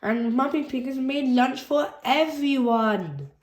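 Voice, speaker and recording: A woman reading a children's picture book aloud in a slow, expressive storytelling manner. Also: sits high, background noise. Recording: echoey room.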